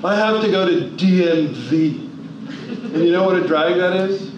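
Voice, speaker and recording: A man's voice: high-pitched, normal speed. Man speaking in an echoey hall. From New Jersey. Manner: emphatic, rhetorical questions, varies pace for effect. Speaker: motivational speaker. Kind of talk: motivational talk to an audience. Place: large hall. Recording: echoey, distant, dull hall recording with noise.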